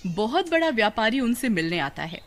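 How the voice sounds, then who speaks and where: A woman's voice: a little high, big pitch swings, normal speed. A woman narrating a scripted children's moral story as the storyteller. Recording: loud background noise.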